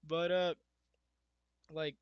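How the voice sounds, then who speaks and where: A young man talking casually in a video podcast about competitive gaming. His voice is slightly raspy and a little shaky, and he speaks with many fillers and restarts as he reads from notes. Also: flat delivery, drawn-out words, dry recording. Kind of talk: casual unscripted talk, reading from notes. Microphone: webcam mic. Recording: dull, dry low-quality webcam mic.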